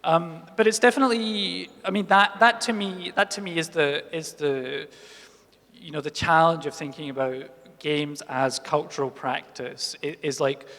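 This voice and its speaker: A man in his late thirties thinking out loud on a festival panel, rambling through restarts. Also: a little high. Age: late 30s. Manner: thoughtful, rambling, many restarts. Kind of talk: casual panel talk. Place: festival talk hall. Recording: long hall reverb.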